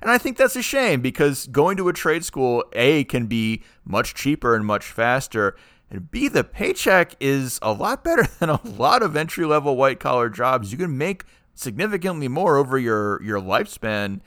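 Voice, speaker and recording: Lively, bright-voiced male podcast host. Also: mid-range voice, moderate pace, dry recording, studio quality. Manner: animated, ranting. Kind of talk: casual chat.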